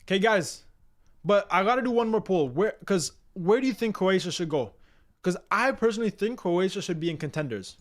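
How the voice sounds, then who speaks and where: Young man, from Canada, live-stream host, casual slangy chat, energetic, slightly high voice. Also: fairly quick. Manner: energetic, repetitive, slangy, addresses viewers as "guys" and "bro". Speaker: livestream host.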